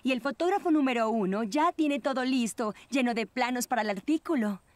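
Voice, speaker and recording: Exacting, high-voiced teen girl played by a woman. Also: a little fast, no room sound, lightly acted. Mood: pressing. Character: organised, schedule-obsessed, exacting teenage girl. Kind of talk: animated children's cartoon dub. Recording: music behind the voice.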